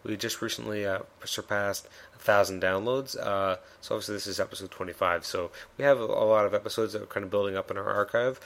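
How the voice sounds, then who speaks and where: Male podcast host, low gravelly voice a bit worn, loose rambling delivery. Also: fairly even intonation, fast talker, hardly any pauses, fairly bright tone. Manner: rambling, many fillers and restarts. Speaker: podcast host reviewing comics. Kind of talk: casual solo podcast review.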